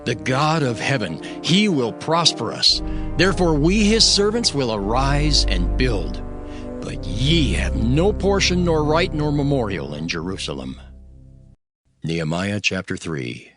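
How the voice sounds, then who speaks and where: A man's voice: mid-range voice, very animated, a little slow. A man giving a dramatic scripted narration of scripture, solemn and declamatory. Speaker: Bible narrator, voicing Nehemiah. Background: music.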